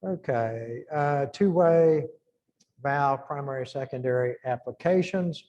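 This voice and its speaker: Halting man thinking aloud in lecture. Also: medium pitch, a little mellow, muffled recording. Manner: thinks aloud, halting restarts while working numbers. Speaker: engineering lecturer. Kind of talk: informal classroom lecture.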